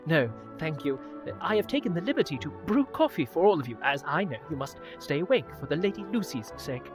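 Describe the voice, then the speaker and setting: A deferential, unsettled madman talks in a raised voice that swings up and down. He is stiffly polite and nervously apologetic, in radio-drama style over clear music. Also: a little high, very animated, a little fast. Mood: obliging. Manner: obsequious, stilted politeness. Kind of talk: radio play acting.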